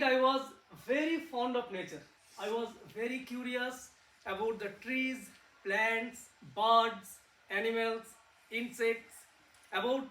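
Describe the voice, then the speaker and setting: A man's voice: very high-pitched, a bit bright. Indian man talking to kids from across a room. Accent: Indian English. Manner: simple words, asks the kids questions, repeats words for emphasis. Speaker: physics researcher giving a talk to children. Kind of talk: classroom talk to kids. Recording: echoey room, far from the mic, faint noise.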